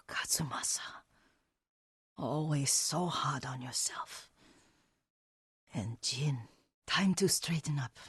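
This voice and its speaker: An actress playing an elderly, devoted caretaker and herbalist in a video game, gentle but firm, with a husky, breathy voice and a motherly manner. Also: low voice, no room sound, clean studio sound, full character voice. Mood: weary, wistful. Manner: unhurried, softly instructive, motherly. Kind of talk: video game character dialogue.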